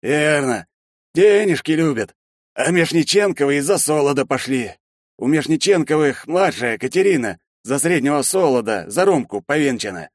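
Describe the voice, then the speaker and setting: A booming, blunt older man with a mocking edge, as a male reader puts him on. His voice rides higher than the reader's own and lands loud and forceful. The recording is dry and close. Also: lively intonation, normal speed, no echo. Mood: scornful.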